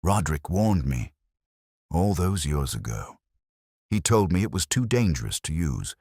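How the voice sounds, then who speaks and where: A man voicing an older widow in a story reading, speaking softly in a drained, resigned way. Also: low voice, very animated, measured pace, slightly rough, no echo, clean studio sound, full character voice. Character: older widow, quiet and worn down.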